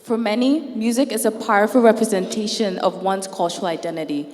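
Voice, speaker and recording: A woman from Ghana emceeing a student show, reading her lines in a measured way. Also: medium pitch, fairly even intonation, darkish tone, big-room sound. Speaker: event emcee.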